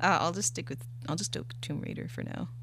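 A woman's voice: fairly low pitch, fast, fairly bright tone, slight background noise. A young woman speaking as an interview guest, chatting casually and a little hesitantly. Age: young adult. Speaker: guest, animator and illustrator.

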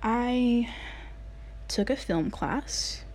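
A woman's voice: on the high side, very slow, a little mellow. A woman in her late teens from the United States, earnestly telling a personal story in a casual way, with many "like" fillers. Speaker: vlogger.

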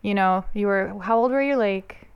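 A woman's voice: medium pitch, normal speed, clear, resonant tone, dry recording, highs cut off. A woman in her thirties from Bulgaria, a native Bulgarian speaker, chatting casually as a guest. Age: 30s. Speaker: interview guest, band member. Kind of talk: casual chat.

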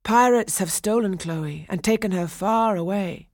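A woman voicing a chorus of living stone nymph statues in a storybook, speaking in a measured, solemn, admonishing way. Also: medium pitch, darkish tone, no echo, studio quality, voice pushed up, lightly acted.

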